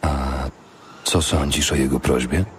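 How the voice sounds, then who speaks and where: An actor playing a noble, earnest paladin with a teasing streak in an audiobook drama. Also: male voice, extremely low pitch, fairly even intonation, unhurried, faint music behind.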